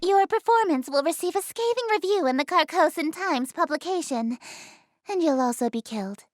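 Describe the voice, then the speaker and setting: A woman's voice: extremely high pitch, expressive, normal speed, no echo, clean studio sound. A woman voicing a haughty, easily flustered eldritch goddess in an anime-style game, speaking in a theatrical, formal, imperious way. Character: haughty, easily flustered regal eldritch goddess, cute young-sounding female. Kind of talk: anime-style visual novel game voice acting.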